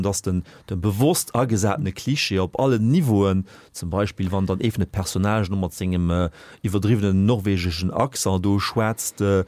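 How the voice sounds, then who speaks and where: A man with a Luxembourgish accent speaking as a critic in an unscripted film discussion, haltingly repeating words as he reasons. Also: lowish voice, darkish tone, dry recording. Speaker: film critic on a radio panel.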